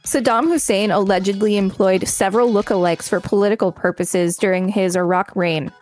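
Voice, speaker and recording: A woman's voice: mid-range voice, fairly even intonation, fairly quick, darkish tone, soft background music. A woman co-hosting a podcast, reading articles aloud and chatting casually between entries. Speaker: podcast co-host.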